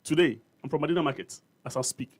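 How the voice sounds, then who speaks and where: Ghanaian man, slightly high voice, argumentative radio panelist, code-switching English and Twi. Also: fast talker. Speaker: panel guest. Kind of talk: heated political debate. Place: radio studio.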